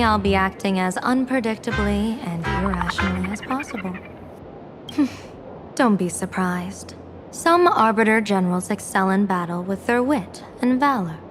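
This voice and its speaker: A woman voicing an enigmatic, composed general and diviner in a video game, speaking in measured, formal, oracular phrasing. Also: medium pitch, expressive, close to an everyday voice. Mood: confident. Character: enigmatic, composed female general and fortune-teller of fate. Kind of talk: scripted video game voice acting. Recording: music under the voice.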